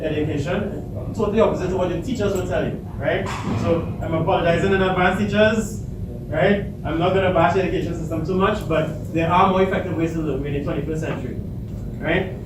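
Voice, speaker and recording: A Trinidadian man with a big, loud voice, speaking to students at a workshop without a microphone and asking the audience questions. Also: high-pitched. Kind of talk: motivational talk to students. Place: large echoey hall, no microphone. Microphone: far camera. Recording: echoey hall, distant camera, audible noise.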